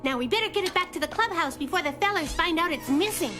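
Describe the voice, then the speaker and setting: A woman voicing a quick-witted, plucky little girl in a cartoon. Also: extremely high pitch, lively intonation. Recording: cartoon soundtrack with loud music.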